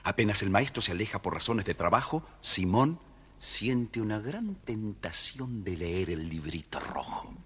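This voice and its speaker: Deep, animated male storyteller. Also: unhurried, slightly rough. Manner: theatrical, addressing the listeners. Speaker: storyteller narrator. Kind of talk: scripted children's story narration.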